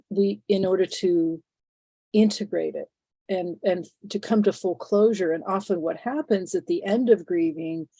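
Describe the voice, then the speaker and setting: A woman in her sixties, phlegmy and sniffly from a head cold, speaks as an interview guest in a thoughtful, halting way full of fillers and restarts. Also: lowish voice, mellow, slightly rough, no echo. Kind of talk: reflective conversational interview.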